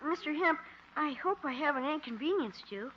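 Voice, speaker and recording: In a dull, hissy old 1950 radio recording, a prissy little know-it-all boy with a high, piping voice. He speaks in a prim, precise and superior way, full of big words. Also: animated, a little fast. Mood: polite. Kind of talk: 1950s radio sitcom acting.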